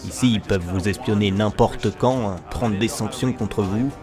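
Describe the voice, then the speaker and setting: A man's voice: on the low side, normal speed. A man narrating a mock documentary in a grave, conspiratorial manner. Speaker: narrator of a satirical investigation video. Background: background music under the voice.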